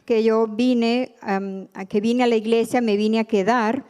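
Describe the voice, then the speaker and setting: Woman giving her testimony, soft and hesitant, a bit high. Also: steady tone, measured pace, clean tone. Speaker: church member giving testimony. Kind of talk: personal testimony.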